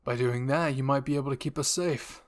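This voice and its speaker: A man voicing a composed, authoritative military commander in a story, with a serious, deliberate manner. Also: medium pitch, fairly even intonation, lightly acted. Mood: calm command.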